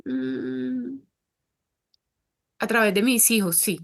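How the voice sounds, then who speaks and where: A woman's voice: fairly high pitch, slow pace, vocal fry. A Colombian woman speaking as an interview guest, earnest and reflective as she talks. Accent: Colombian Spanish. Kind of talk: casual interview conversation.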